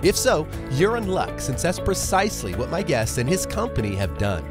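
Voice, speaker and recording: Male host, froggy from a cold, lively pitch, music behind. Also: normal speed. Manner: upbeat, affirming, frequent "yeah". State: congested from a cold. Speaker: podcast host. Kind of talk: podcast intro and interview.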